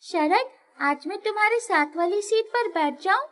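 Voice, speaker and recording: A woman voicing a sweet, friendly young schoolgirl in an animated moral story, girlish and inquisitive. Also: extremely high pitch, a little slow, voice pushed up, full character voice. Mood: friendly, curious.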